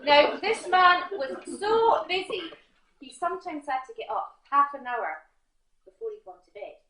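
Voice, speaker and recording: A woman with a Scottish accent and a high-pitched voice tells a comic tall tale on stage. She talks straight to the audience, pausing and correcting herself. The theatre gives her voice a roomy echo. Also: very animated, bright, forceful tone. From Scotland. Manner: halting, punning, addresses the audience directly. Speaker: competition storyteller. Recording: roomy, echoing theatre recording.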